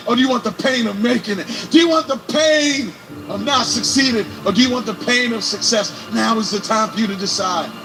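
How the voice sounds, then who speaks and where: A man with a very high, strained voice delivers a pep talk to students. He hammers the same phrases again and again and pushes them up into shouts over music playing underneath. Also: poor audio. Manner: intense, repetitive, building to shouts. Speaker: motivational speaker. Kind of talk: motivational speech to students.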